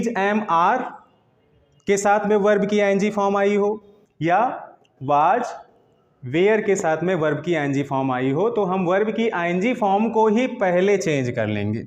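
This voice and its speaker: Male teacher, high voice, didactic, step-by-step classroom explanation, repeats key points. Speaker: English grammar teacher.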